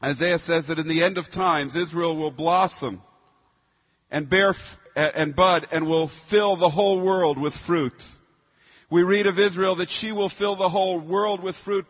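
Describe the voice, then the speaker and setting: A man's voice: high voice, slightly rough. A man preaching a sermon with scripture readings, speaking in an emphatic, expository and rhetorical manner. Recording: muffled sound in a long-reverb hall.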